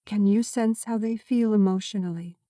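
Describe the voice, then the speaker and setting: A woman narrating a scripted guided meditation in a soothing, measured, gently instructing manner. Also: medium pitch, steady tone, no echo, clean studio sound.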